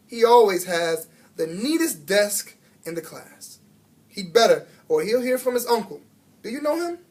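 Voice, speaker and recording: A man puts on an exuberant, big-hearted janitor from a children's storybook. He is booming and folksy, chatting away like an old pal to a small friend. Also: big pitch swings, measured pace. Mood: excited, friendly. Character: Aldo, big-hearted, jolly chatty male school janitor.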